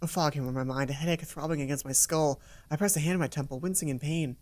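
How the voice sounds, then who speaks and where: A let's play host reading a visual novel aloud in an expressive storytelling way, with asides. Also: mid-range voice, fast talker.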